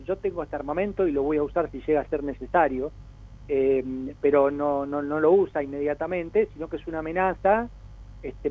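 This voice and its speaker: A man with an Argentine accent giving spontaneous, discursive analysis as an interview guest, often voicing imagined quotes to make his points. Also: on the high side. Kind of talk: spontaneous explanatory commentary. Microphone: telephone call. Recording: narrow tinny phone line.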